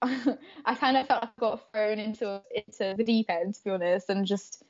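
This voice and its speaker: A woman's voice: mid-range voice, normal speed. A young woman whose first language is Croatian, chatting as a podcast guest, laughing often between hesitant, filler-filled phrases. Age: young adult. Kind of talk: casual interview chat. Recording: dull lo-fi podcast audio.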